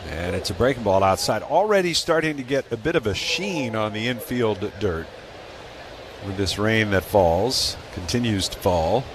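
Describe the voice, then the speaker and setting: A man's voice: on the low side, expressive, moderate pace. An American man calling live baseball play-by-play in a radio commentary style. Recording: clearly noisy live ballpark broadcast.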